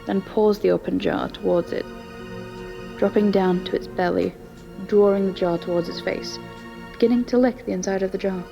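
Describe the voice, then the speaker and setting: A woman's voice: mid-range voice, fairly even intonation, normal speed, gravelly, dull audio, over music. A woman narrating a scripted audio story.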